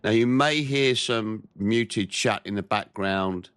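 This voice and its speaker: A man's voice: lowish voice, fairly bright tone, clear, resonant tone, no echo. Nasal man with a head cold. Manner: measured, plain-spoken play-by-play. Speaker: gameplay commentator. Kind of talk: naval game commentary.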